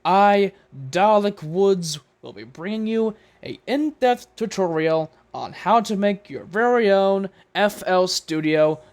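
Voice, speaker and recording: A man narrating a scripted tutorial as its host, with theatrical, drawn-out emphasis. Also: high voice.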